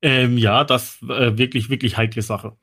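A middle-aged man speaks as a podcast guest with expert knowledge. His pitch is average for a man. He explains and recounts anecdotes in a casual, conversational style. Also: fairly even intonation, normal speed, fairly bright tone, breathy edge. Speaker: guest, toxicologist. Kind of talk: casual interview talk, explaining and telling anecdotes.

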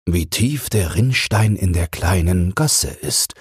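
Gentle old storybook grandfather type, acted by a man: low, very raspy, musing, unhurried. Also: expressive, dry recording, studio quality, full character voice. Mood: kindly, musing. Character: friendly old man, gentle teller of fairy tales.

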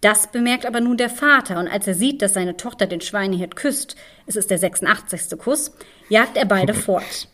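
A woman hosting a podcast, narrating fairy tales in an expressive, measured storytelling manner. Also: medium pitch. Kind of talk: fairy-tale narration and discussion.

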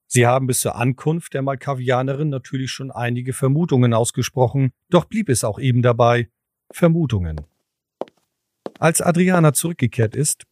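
A man's voice: mid-range voice, a little mellow, dry recording, studio quality. A man narrating a scripted audio drama as its storyteller.

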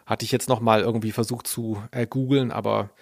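A man's voice: lowish voice, fairly even intonation, fairly quick, vocal fry, darkish tone, no room sound. Male podcast host, close and dry. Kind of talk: casual discussion, some reading aloud.

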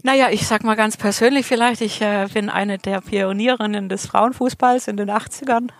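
Gravelly-voiced chatty woman. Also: medium pitch, fairly even intonation, normal speed, no room sound. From southern Germany. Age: middle-aged. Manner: chatty, many fillers and restarts, laughs easily. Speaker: state politician guest. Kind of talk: relaxed interview talk. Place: garden allotment outdoors.

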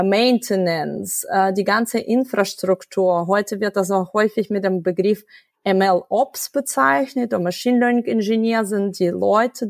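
A woman's voice: medium pitch, gravelly, no room sound, clean studio sound. A woman, a data science expert explaining at length as a podcast guest, with frequent fillers and restarts. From Ukraine. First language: Russian. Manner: thoughtful, many fillers and restarts. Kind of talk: podcast interview.

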